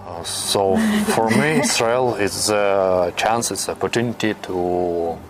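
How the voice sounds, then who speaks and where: A young man with a Russian accent answering interview questions in halting, searching English with many pauses. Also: deep voice, music in the background. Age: young adult. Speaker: interviewee, new immigrant.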